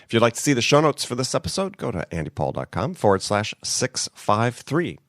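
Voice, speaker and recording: A man's voice: mid-range voice, very animated, fairly quick, no echo, clean studio sound. A man hosting a podcast in casual, upbeat talk while getting over a cold. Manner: upbeat, conversational. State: has a cold. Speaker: podcast host.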